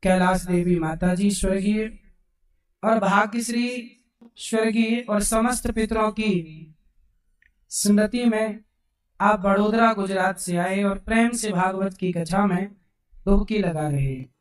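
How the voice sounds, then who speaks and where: Hoarse, slow-spoken male preacher in a roomy hall. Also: high-pitched. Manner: unhurried, asks the crowd questions and answers them. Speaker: Bhagavat katha preacher. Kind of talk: devotional sermon to a live gathering. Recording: roomy sound from a distant mic.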